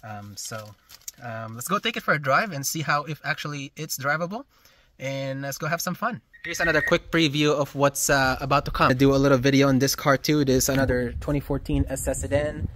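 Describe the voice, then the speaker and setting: A man in his thirties filming himself at arm's length, giving a relaxed, rambling walkaround of his car in a garage and then while driving. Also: mid-range voice, a little fast, a little hiss or hum. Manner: relaxed, rambling, many ums. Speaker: shop owner showing his car. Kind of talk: casual vlog walkaround. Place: car shop garage, then inside a moving car. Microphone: camera held at arm's length.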